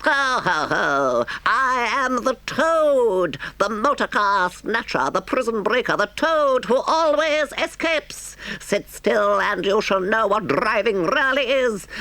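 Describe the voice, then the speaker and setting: A vain, boastful storybook toad, pitched far above a normal male voice. The delivery is theatrical and exclamatory, swooping widely with a bright, forceful edge. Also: creaky, dry recording, heavily acted. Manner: grandiose, drawn-out, exclamatory delivery.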